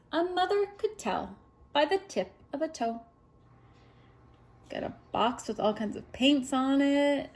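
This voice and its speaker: A woman's voice: high-pitched, a little mellow. A woman reading a picture book aloud at story time, expressively, with little asides to a small child.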